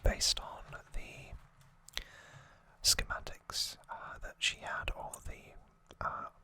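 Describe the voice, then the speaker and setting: Whispering ASMR host, breathy and bright. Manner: gentle, unhurried, informal recapping.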